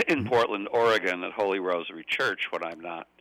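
Older American man, low bright voice. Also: brisk, no echo, low-quality recording. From United States. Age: 70s or older. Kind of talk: conversational radio interview.

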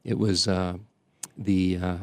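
An older man, a priest from the Chicago area, telling stories in casual conversation, halting often with fillers and restarts. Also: deep voice, flat delivery, no echo. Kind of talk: conversational storytelling.

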